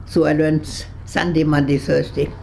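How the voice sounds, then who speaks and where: A woman over one hundred, from Berlin, recalling her past in an interview, halting and searching for words with frequent restarts, and laughing easily with dry humor. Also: sits low, flat delivery, vocal fry, darkish tone, a little hiss or hum. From Berlin, Germany. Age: 100s. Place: her home on a kibbutz.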